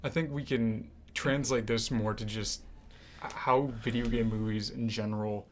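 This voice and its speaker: A man's voice: lowish voice, steady tone, unhurried, a little hiss or hum. A young American man co-hosting a podcast in a casual, conversational style. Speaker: podcast co-host. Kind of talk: casual chat.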